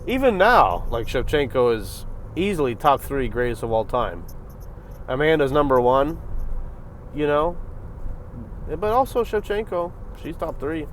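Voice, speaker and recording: Animated, profane man breaking down a fight. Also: a little slow, slight background noise. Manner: animated, profane, swings between loud bursts and low mutters. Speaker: reaction video host. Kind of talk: casual fight commentary.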